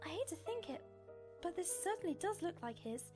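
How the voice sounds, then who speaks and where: A woman plays an anxious young lady in a cartoon whodunit. Her voice is very high and swings widely, full of suspicion, with loud music behind it. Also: very animated, fairly quick. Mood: uneasy. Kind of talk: animated fan-drama voice acting.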